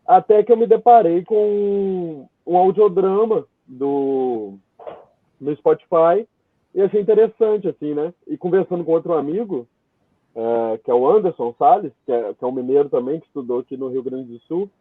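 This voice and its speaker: Halting Brazilian man on thin, band-limited audio. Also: fairly high pitch, no echo, phone-quality sound. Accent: Brazilian Portuguese. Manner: halting, meandering, thinking aloud. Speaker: guest, theatre writer and director. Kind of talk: informal interview answer.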